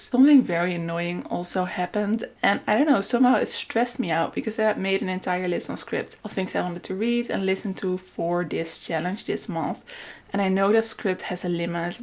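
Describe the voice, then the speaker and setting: A woman whose first language is Dutch, talking candidly and casually as a book vlogger in a chatty reading vlog. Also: medium pitch.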